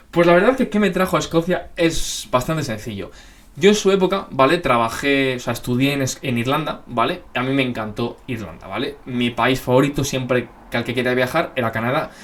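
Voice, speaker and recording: Fast-talking young man with a Madrid accent. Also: mid-range voice. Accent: Madrid Spanish. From Spain. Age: young adult. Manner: rambling, lots of filler tags and self-corrections. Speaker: basketball channel host. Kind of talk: casual Q&A monologue.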